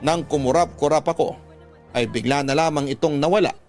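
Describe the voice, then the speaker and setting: A man's voice: on the high side, a little fast, darkish tone, soft background music, lightly acted. An actor playing a man who narrates his own eerie countryside memory in a radio story. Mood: reflective storytelling. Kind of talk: first-person dramatic narration of a radio story.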